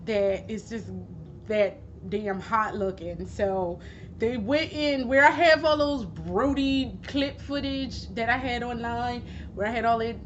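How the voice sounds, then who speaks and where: A woman vlogging at home, hoarse from losing her voice, rambling casually with plenty of swearing and fillers. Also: fairly high pitch, slow pace. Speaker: gaming vlogger. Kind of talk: casual chat. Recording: dull lo-fi recording with steady background noise.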